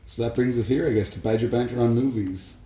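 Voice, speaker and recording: A man's voice: medium pitch, quick pace, warm tone. A man co-hosting a podcast, chatting casually about films and games. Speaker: podcast co-host. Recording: roomy echo, faint music behind.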